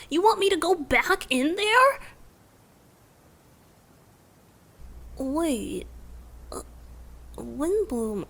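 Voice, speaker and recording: A woman voicing a playful, tender young male bard god in a romantic ASMR roleplay, soft and breathy, often whispering and gently teasing. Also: sits high, full character voice. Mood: alarmed, confused.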